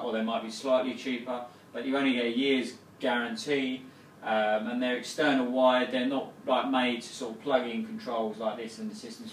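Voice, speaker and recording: A man's voice: medium pitch, recorded from a distance, a little hiss or hum. A man in his thirties who runs a plumbing business, casually explaining a new boiler in a small home kitchen, with lots of fillers and restarts. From England. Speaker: plumbing company owner. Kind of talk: casual product walkthrough. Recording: roomy kitchen sound, voice a little off-mic.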